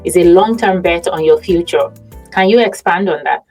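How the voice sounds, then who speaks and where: Low-voiced Nigerian woman podcast host. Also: moderate pace, darkish tone, faint music behind. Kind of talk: conversational podcast interview.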